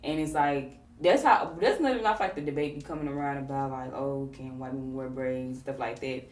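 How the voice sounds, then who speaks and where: A woman hosting a podcast, talking in a relaxed, conversational way with plenty of fillers. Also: extremely low pitch, fast talker, reverberant, distant mic. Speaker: podcast host. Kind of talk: casual conversation.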